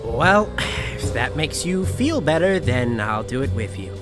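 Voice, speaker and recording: Skeptical, teasing young male sidekick , acted by a man, slow theatrical drawl, very animated pitch, music behind. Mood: amused surprise. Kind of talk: visual novel fan dub acting. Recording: music under the voice.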